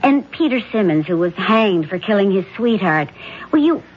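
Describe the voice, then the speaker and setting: A woman playing a frightened middle-aged wife of a judge in a 1970s radio drama, talking in an anxious, halting, stammering way. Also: mid-range voice, very animated, breathy edge. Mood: frightened, pleading. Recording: dull old radio broadcast.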